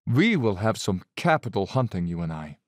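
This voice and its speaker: An actor in an audio drama playing an urbane Cossack general and hunter, courtly and self-satisfied, with quiet menace. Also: male voice, lowish voice, sing-song, normal speed, no room sound, clean studio sound. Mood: relishing, genial.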